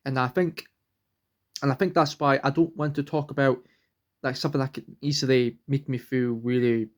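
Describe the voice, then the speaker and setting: A man's voice: medium pitch, fairly even intonation, moderate pace. A young man, vlogging at home, rambling earnestly and restarting sentences as he searches for words. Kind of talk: rambling personal vlog.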